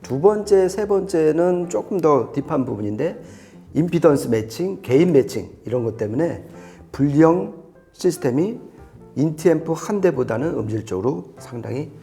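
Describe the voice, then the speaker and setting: Bright, lively man explaining audio gear. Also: fairly high pitch, normal speed, vocal fry, faint music behind. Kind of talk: conversational product explainer.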